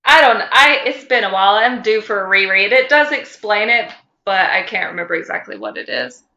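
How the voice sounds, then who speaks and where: A woman's voice: medium pitch, sing-song, a little fast, sharp tone, lo-fi sound. A woman co-hosting a comedy podcast, talking casually and reading fan fiction aloud. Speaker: podcast co-host.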